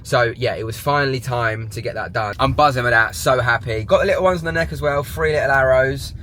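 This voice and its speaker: A man's voice: medium pitch, brisk, fairly bright tone, slight background noise. A man, chatting casually in a vlog, a bit congested from a sore throat, upbeat and rambling. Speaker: vlogger.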